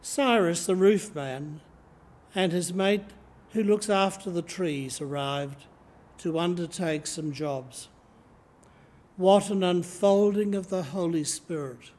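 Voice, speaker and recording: Slow, measured older Australian priest. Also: male voice, high voice, a little mellow. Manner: slow, measured reading from notes. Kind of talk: sermon. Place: church sanctuary, indoors. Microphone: lectern microphone, camera in front.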